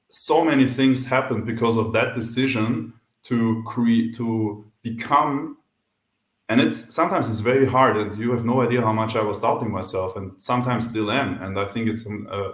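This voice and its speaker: Reflective Austrian man, roomy sound. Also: fairly low pitch. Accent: Austrian German. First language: German. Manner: thoughtful, candid, hesitant with fillers. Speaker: podcast guest. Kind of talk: casual interview chat.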